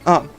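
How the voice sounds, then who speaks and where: A man streaming a casual visual novel readthrough, chatting in a halting, giggly, enthusiastic fan's way. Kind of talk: casual livestream chat with loose readthrough. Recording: music playing behind the voice. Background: game soundtrack music.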